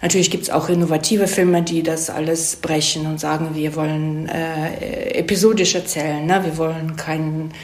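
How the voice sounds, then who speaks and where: A woman's voice: deep voice, flat delivery, moderate pace, hardly any pauses, a little mellow. A woman giving casual explanations as an interview guest, thoughtful and hesitant, often correcting herself and checking for agreement. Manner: thoughtful, hesitant, self-correcting, seeks agreement with tag questions. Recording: roomy echo.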